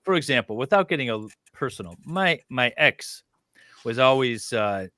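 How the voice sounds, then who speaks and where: A man reading a document aloud haltingly and breaking off for casual commentary. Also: mid-range voice, animated, bright, forceful tone, no room sound.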